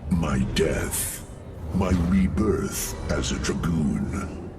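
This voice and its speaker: An actor playing a brooding synthetic alien warrior in a sci-fi game, grave, very deep and gravelly. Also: male voice, animated, extremely slow, distant mic, noisy background, full character voice. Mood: anguished, grave. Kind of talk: dramatic sci-fi game acting. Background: music.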